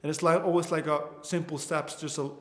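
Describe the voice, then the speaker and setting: A man's voice: on the high side, little pitch movement, fairly quick. Earnest young Icelandic man, echoey room. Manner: earnest, with frequent restarts and fillers. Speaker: course instructor. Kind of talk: online course lecture.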